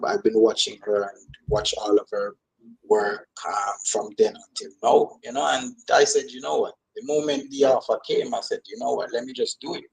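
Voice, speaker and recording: A man's voice: medium pitch, rough recording. A man from Jamaica with a Jamaican accent, chatting casually as an interview guest and repeating phrases for emphasis. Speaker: guest, actor and comedian.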